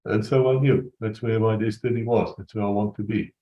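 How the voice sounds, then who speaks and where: A man's voice: lowish voice, fairly even intonation, brisk, reverberant, highs cut off. A man hosting the interview, speaking earnestly and urging listeners on. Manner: earnest, exhorting. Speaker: podcast host. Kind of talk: earnest rallying speech.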